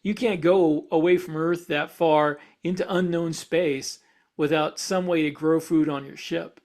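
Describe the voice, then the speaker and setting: A man's voice: a little high, fairly even intonation, measured pace, a little mellow. A man talking casually as a podcast host, explaining ideas and thinking aloud as he goes. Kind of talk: casual discussion.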